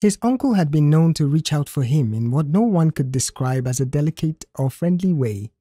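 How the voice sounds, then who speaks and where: A woman's voice: sits low, expressive, moderate pace, warm tone, breathy edge, no echo, clean studio sound. A woman reading a scripted audio story as its narrator.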